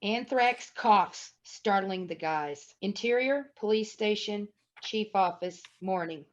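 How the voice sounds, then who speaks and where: A woman narrates a screenplay, reading scene headings and action. Her pitch is average for a woman, and the recording sounds a little dull and lo-fi. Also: slow. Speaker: narrator reading stage directions. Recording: dull, lo-fi audio.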